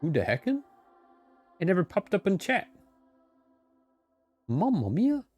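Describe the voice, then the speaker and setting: Mellow, animated male game streamer. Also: high voice, a little slow, soft background music. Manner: playful, goofy interjections. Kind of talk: casual livestream chat.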